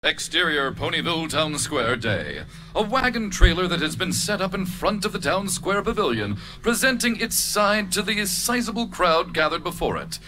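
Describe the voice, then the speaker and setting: A man's voice: sits high, big pitch swings, moderate pace, noisy background. A man reading stage directions as the narrator of a live cartoon script reading. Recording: live reading with music behind.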